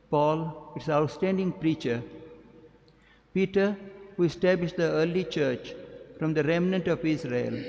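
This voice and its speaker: An older man from India, a priest at the altar, reciting liturgical prayers in a measured, solemn way into a stand mic. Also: on the high side, a little mellow. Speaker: priest celebrating Mass. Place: large cathedral, at the altar. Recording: long church reverb.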